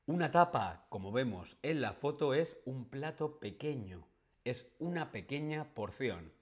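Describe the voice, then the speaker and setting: A man teaching Spanish in a live stream, speaking slowly and clearly and repeating key words for learners. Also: mid-range voice, lively intonation. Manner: reads viewer chat questions aloud. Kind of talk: live-streamed language lesson.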